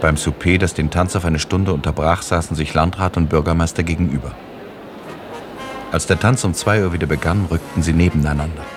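Deep-voiced male radio play narrator. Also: steady tone, a little fast. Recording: music behind the voice.

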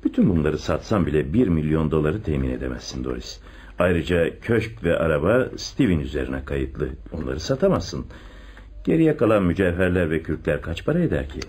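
An actor playing a confident police chief inspector in a radio play, with an expressive, deliberate theatrical delivery. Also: male voice, rumbling low, husky, full character voice. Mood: measured. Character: male police chief inspector, secretly in love, confident theorist. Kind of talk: Turkish radio theatre acting.

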